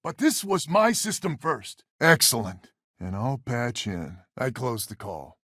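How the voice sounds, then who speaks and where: A man's voice: medium pitch, big pitch swings, measured pace, bright, forceful tone, breathy edge, no echo, studio quality, heavily acted. A man voicing a weary, stubborn space settler leader in a video game, plain-spoken and sardonic with a folksy manner. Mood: defensive, rueful. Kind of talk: video game character dialogue.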